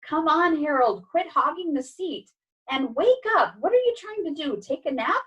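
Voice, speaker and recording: Woman voicing a bossy, impatient male cat, pitched high. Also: animated, measured pace, recorded from a distance, lo-fi sound. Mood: exasperated. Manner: commanding, exasperated, insistent.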